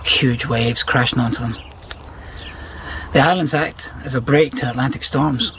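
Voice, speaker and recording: An actor playing a reserved, gentle, nature-loving man with a Glasgow Scottish accent in a radio drama, plain-spoken and understated. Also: medium pitch, steady tone, measured pace, noisy background, lightly acted. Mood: reminiscing.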